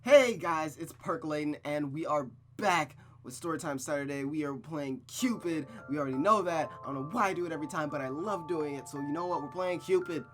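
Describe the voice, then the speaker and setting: A man's voice: on the high side, fairly quick, hardly any pauses, over music. A young man hosting a reading of a visual novel, talking energetically and casually, then narrating the story. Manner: energetic, chatty, swears casually.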